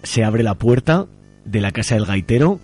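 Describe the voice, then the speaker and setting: A man's voice: fairly low pitch, animated, normal speed, over loud music. A man with an accent from Spain interviews on the radio in a casual, playful, coaxing way. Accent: Spanish from Spain.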